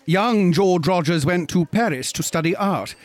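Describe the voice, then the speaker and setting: An actor as a higher-pitched, gossipy British reporter. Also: male voice, natural voice. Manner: affable, measured, understated. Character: polite, gossipy British newspaper reporter in London. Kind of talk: radio drama acting.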